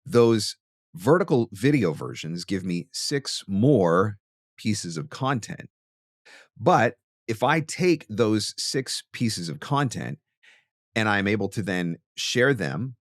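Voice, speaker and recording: A man with a fairly low voice speaks as a host giving practical instruction. He leans deliberately on key words for emphasis. The sound is dry, with very little room in it. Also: dry recording. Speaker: host, voice actor teaching marketing. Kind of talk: instructional monologue to camera.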